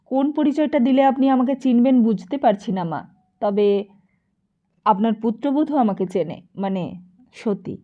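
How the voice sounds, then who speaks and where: A woman voicing a smooth-talking, meddling gentleman who moralises and flatters in an audiobook. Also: on the high side, unhurried, pauses often, narrow, tinny audio. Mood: ingratiating. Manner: ingratiating, moralising.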